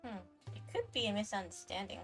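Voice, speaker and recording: A woman's voice: mid-range voice, very animated, fast talker, fairly bright tone. A woman chatting casually on a game livestream in a hesitant, rambling way, often trailing off. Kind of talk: casual game stream chat. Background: faint game music.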